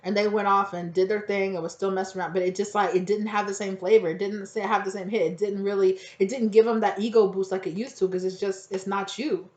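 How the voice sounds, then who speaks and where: Hoarse woman rambling through a tarot reading. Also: mid-range voice, steady tone, fast, hardly any pauses, a little mellow. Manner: rambling, slangy, acts out quotes. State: hoarse, nearly losing her voice. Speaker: tarot reader. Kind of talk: casual channeled tarot reading. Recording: echoey, dull lo-fi room recording.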